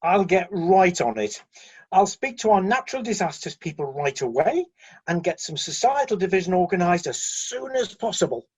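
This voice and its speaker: A man's voice: high voice, very animated, moderate pace, a little mellow, husky, exaggerated voice. A man acting in a radio play as a candid, doubtful heavenly aide, deferential and matter-of-fact toward the one in charge.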